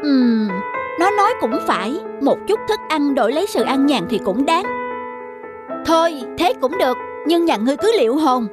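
Woman as a high-voiced bossy cartoon cat. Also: big pitch swings, normal speed, over music. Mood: grudging. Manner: bossy, scornful. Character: greedy, lazy, bossy male cat, menacing toward prey. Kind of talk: children's cartoon dubbing.

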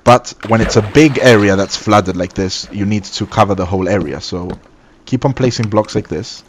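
A man's voice: fairly low pitch, normal speed, slight background noise. A man from Gibraltar with a Gibraltarian accent, joking with friends and explaining steps while playing a building game. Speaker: gaming video host. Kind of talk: casual gaming chat.

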